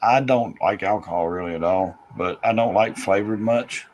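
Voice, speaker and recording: A man's voice: lowish voice, moderate pace. Laid-back man chatting. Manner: laid-back rambling with laughs. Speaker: host. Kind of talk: casual chat.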